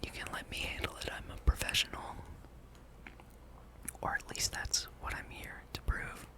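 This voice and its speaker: Whispering ASMR gameplay streamer. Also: moderate pace. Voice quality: breathy unvoiced whisper. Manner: hushed, playful musing, reads game dialogue aloud.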